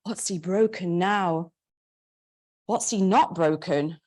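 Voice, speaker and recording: A woman's voice: fairly low pitch, slow pace, darkish tone. A woman voicing her blunt, wry, devout older mother, talking plainly and bluntly. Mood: dry, teasing. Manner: blunt, accusing.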